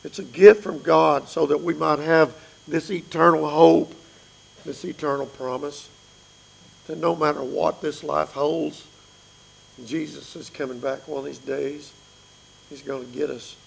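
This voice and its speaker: A man in his fifties with a raspy voice, preaching an earnest sermon that pleads with his listeners. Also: a little high, fairly even intonation, unhurried, rough recording.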